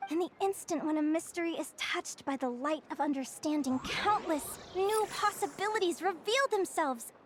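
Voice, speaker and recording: A woman's voice: very high voice, moderate pace, hardly any pauses, slight background noise. A woman playing an earnest, bookish young female naturalist in a video game, full of wonder and easily flustered. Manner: earnest, wonder-filled, storytelling delivery. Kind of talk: scripted video game character dialogue.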